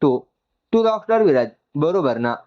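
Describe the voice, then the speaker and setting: Man reading as middle-aged mother, gushing, excitable, chattery, storybook dialogue, lo-fi recording. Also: fairly high pitch, normal speed. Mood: excited.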